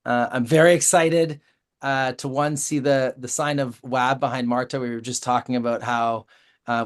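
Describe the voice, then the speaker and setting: A man hosting a virtual roundtable over a video call, talking in a friendly way with many fillers. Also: a little high. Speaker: roundtable host.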